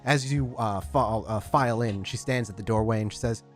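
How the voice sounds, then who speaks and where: A man as storyteller, narrating a tabletop roleplaying game in descriptive, unhurried speech with frequent fillers. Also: on the low side, soft background music.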